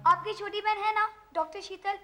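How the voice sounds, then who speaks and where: A woman's voice: extremely high pitch, fairly even intonation, normal speed. An actress playing a playful young woman doctor who teases and scolds her family with affection. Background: film score music.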